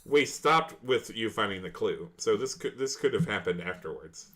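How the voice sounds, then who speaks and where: A man's voice: on the low side, lively intonation, normal speed, a bit bright. A man acting as game master in a casual tabletop role-play podcast conversation.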